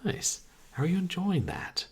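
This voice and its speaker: A man live-streaming a video game, talking calmly and softly, with a rambling, casual flow full of fillers. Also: high voice, normal speed, creaky, slightly rough. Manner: soft-spoken, calm, rambling with fillers.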